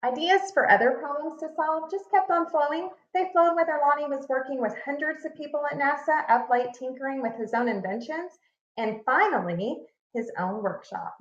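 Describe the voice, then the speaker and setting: A woman reading a children's picture book aloud like a teacher, expressive and deliberate, leaning on key words. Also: sits high. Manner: expressive, deliberate storytelling with emphasis. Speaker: read-aloud narrator, teacher. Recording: echoey room, slightly muffled sound.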